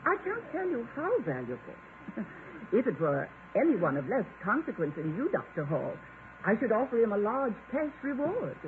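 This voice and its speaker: A wealthy older matron in a 1950s radio drama, imperious and easily wounded. Her high voice is haughty and theatrical, rising and falling widely. The recording is narrow, with faint noise. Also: sing-song, normal speed. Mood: distraught, doting. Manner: haughty, melodramatic.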